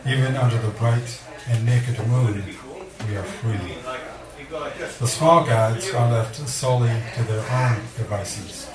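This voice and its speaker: A man reads his poems live at a medium pitch, with measured phrasing and deliberate pauses. He is heard from a distance in an echoey room, with an audience around him. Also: a loud crowd. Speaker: poet reading at a poetry event. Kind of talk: live poetry reading with casual introductions. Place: indoor cafe venue before a live audience. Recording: echoey room recorded from afar, audience murmur.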